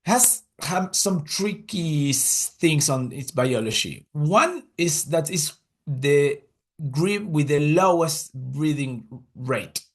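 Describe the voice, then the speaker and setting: A man's voice: a little high, expressive, slow. A man with an Argentine Spanish accent, giving a webinar talk over a video call in English, his second language, with many fillers and restarts. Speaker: guest speaker, conservation scientist. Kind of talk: webinar presentation. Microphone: computer mic on a video call.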